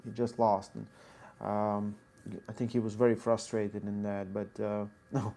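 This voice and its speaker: A man's voice: lowish voice, level tone, unhurried, gravelly, dark tone. A man with a Latvian accent, an arm wrestling coach answering viewer questions in a live Q&A, explaining technique in short bursts and reading chat questions aloud.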